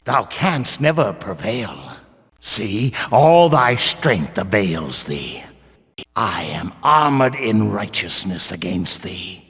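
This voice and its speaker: An actor playing a stern, pious medieval temple guard in a video game, speaking in pompous, archaic, theatrical phrases. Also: male voice, on the low side, sing-song, slow, fairly bright tone, breathy edge, heavily acted. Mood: furious, righteous. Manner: archaic pompous speech, declaiming. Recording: long cavernous reverb.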